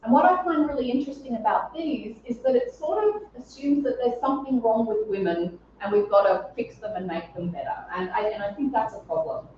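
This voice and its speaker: A woman's voice: fairly high pitch, fairly quick, few pauses, darkish tone. Scratchy-voiced woman lecturing in echoey hall. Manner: clear explanatory delivery with occasional ums and throat clearing. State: slightly scratchy voice. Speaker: psychology professor giving a conference talk. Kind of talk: academic lecture. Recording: distant, echoey, dull-sounding room.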